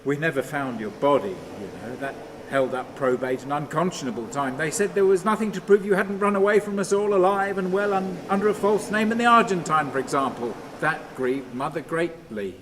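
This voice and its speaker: An actor playing a haunted, restless man in a radio play monologue, halting and repetitive, moving between muttering and command. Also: on the high side, close to an everyday voice. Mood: rambling, bitter reminiscence. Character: haunted, rambling man talking to his dead father, brooding and restless. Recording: clearly noisy, steady ambient sound.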